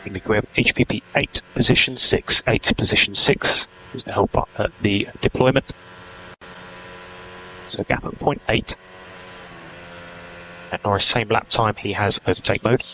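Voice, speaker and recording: A man's voice: lowish voice, fairly even intonation, a little slow, long pauses, bright, forceful tone. A man working as a race engineer, giving clipped, procedural instructions over team radio. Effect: team radio transmission. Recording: noisy team radio feed.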